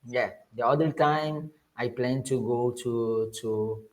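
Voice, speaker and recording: Brazilian guy on a video call english lesson, halting, lots of restarts, middle pitch. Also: poor audio. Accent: Brazilian Portuguese. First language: Portuguese. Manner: hesitant, restarts often, learner's English. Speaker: English student. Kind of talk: casual conversation class.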